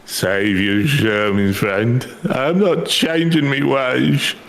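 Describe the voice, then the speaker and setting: A man's voice: medium pitch, fairly even intonation, takes it slowly, vocal fry, a bit bright. A man voicing a tipsy, stubborn, down-on-his-luck treasure hunter from a game, with a theatrical, colloquial drawl. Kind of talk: reading game character dialogue aloud.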